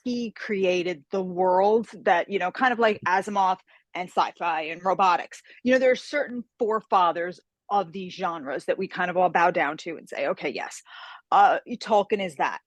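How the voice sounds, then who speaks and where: A woman's voice: lowish voice, moderate pace, no echo, dull audio. A woman guest talking about her work as a fantasy author, chatty and enthusiastic, on a video call. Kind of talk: casual interview conversation.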